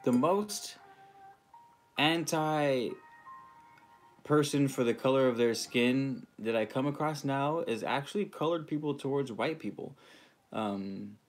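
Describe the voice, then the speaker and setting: A man's voice: medium pitch, takes it slowly, long pauses. Man from California, livestream host, loose rambling chat with lots of ums, faint music underneath. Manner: rambling, laid-back, frequent ums, greets viewers by name. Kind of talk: casual livestream chat reading viewer comments.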